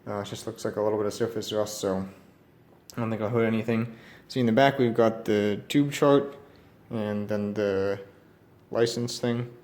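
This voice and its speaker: A man giving an unscripted hands-on walkthrough, musing aloud in a tentative, halting way. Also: on the low side, steady tone, darkish tone. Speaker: radio repair hobbyist presenting. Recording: roomy echo, background noise, varying distance.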